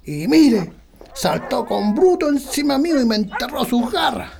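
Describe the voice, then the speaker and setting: Man acting a creaky old Chilean country farmer. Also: very high-pitched, very animated, unhurried, a little mellow, heavily acted. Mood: shaken, earnest. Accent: rural Chilean. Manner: folksy, colloquial country speech. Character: stubborn, boastful old country farmer, husband and father. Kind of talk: radio drama acting.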